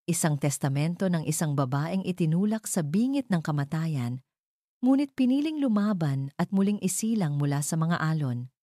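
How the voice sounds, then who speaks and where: A woman reading a dramatic first-person story as a narrator, in a measured, deliberate way. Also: low-pitched, expressive, mellow, no echo, studio quality.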